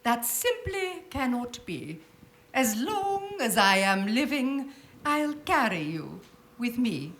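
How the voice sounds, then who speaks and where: Fussy, grasping mother in a radio play, female actor, high and theatrical with huge swoops, roomy stage sound. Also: very animated, takes it slowly, a bit bright, full character voice. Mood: prim, fussy. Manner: theatrical, emphatic, prim. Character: fussy, grasping, melodramatic middle-aged mother, pretending refinement. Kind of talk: live radio play acting. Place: theatre stage before an audience.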